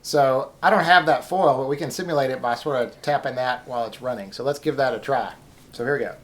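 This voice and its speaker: A man's voice: medium pitch, fast, a bit bright. A man hosting a video, giving a casual, informal and somewhat hesitant unscripted demonstration as he talks. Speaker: video host.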